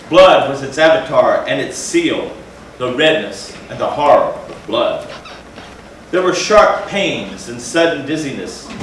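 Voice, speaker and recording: A man's voice: on the high side, a bit bright. Theatrical man reading, very echoey and distant. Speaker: stage reader. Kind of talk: dramatic gothic story reading. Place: indoor theatre, far from the mic. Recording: echoey theatre from far off, faint noise.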